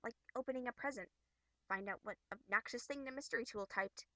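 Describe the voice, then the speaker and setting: A woman's voice: a little high, fairly quick, sharp tone, no room sound. A snide young troll girl, as acted by a woman in an amateur webcomic performance. She drawls her sarcasm with haughty exasperation and open mockery. The recording is dry, with no room sound. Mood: contemptuous. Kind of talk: amateur character voice acting from a webcomic.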